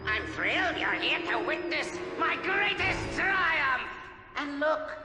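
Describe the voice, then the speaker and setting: A man's voice: very high-pitched, very animated, echoey room, big-room sound, heavily acted. A man voicing a gloating, theatrical robot supervillain in a video game cutscene. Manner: mocking, drawn-out theatrical gloating. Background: game score music.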